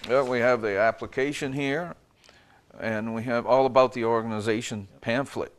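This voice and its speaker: An older man, mid-pitched, interviews his guests in a friendly way. His questions often start, stop and restart. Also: fairly even intonation, moderate pace, a little hiss or hum. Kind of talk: conversational interview.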